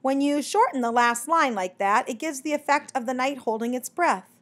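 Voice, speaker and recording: A woman's voice: a little high, very animated, normal speed, fairly bright tone. A woman voicing a conceited, cordial male mockingbird poet in a storybook, with a pompous, emphatic manner. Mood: pedantic.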